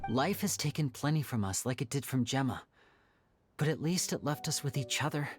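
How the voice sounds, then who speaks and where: An actor playing a gentle, devoted young male stage magician in a video game, with polished, theatrical phrasing. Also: mid-range voice, animated, normal speed, lightly acted. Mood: earnest, tender. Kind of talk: video game character dialogue. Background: faint game music.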